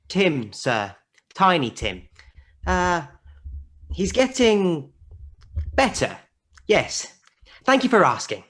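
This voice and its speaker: A man's voice: sits high, big pitch swings, slow, long pauses, fairly bright tone, exaggerated voice. A man playing a meek, kindly clerk in a radio play, speaking hesitantly and politely. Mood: polite, warm.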